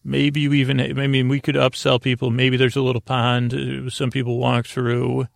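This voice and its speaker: A man with a heavily gravelly, creaky voice delivers an improvised bedtime podcast monologue. His pitch stays fairly even as he meanders, stumbling and repeating words. The recording is dry and close. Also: no echo. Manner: deliberately slowed-down delivery. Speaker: podcast host.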